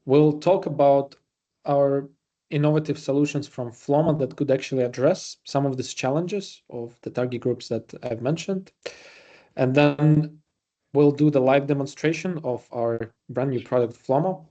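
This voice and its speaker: A man with a Latvian accent hosts an online presentation. His voice is dark and relaxed, at an average pitch. He speaks informally, pausing often as he goes. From Riga, Latvia. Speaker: webinar host and presenter. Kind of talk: semi-scripted webinar presentation.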